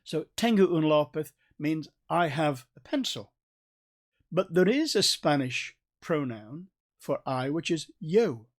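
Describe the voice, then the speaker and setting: A man with a North Staffordshire accent giving a recorded Bible study in a conversational way, with restarts and gentle asides. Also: on the high side, lively intonation, slow. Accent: North Staffordshire English. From England. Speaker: Bible teacher.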